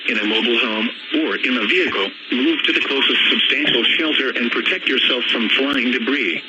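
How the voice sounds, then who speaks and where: A man's voice: medium pitch, a little fast. Noisy, muffled male emergency weather announcer. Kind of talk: scripted emergency weather warning read-out. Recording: dull, very noisy radio broadcast.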